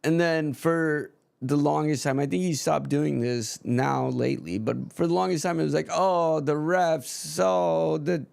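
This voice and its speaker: A man's voice: on the high side, normal speed, vocal fry, few pauses, a little mellow, no room sound. A man streaming a live football match and ranting frankly about his team's manager, swearing freely in casual chat.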